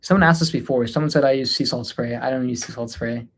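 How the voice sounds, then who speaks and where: A man's voice: mid-range voice, quick pace, slightly rough. A man from North India chatting casually as a livestream host, tired and informal, hesitating and trailing off as he answers questions. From North India, Delhi area. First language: Hindi, mixed with English. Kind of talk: casual unscripted Q&A livestream chat.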